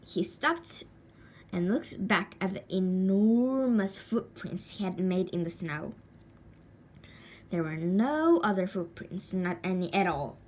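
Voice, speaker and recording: A woman reading a children's story aloud in an expressive, deliberate way with a sing-song lilt. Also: mid-range voice, a little hiss or hum. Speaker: storybook reader.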